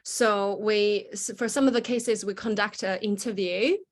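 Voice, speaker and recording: A woman's voice: mid-range voice, fairly even intonation, a little slow. A woman from the admissions team explaining requirements on a video call, with some self-corrections. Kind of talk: explaining requirements in online meeting.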